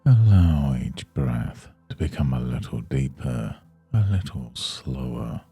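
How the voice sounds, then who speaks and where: A man guiding a yoga nidra meditation in a soothing, hushed, unhurried manner. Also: a bass voice, expressive, breathy edge, soft background music. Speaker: meditation guide.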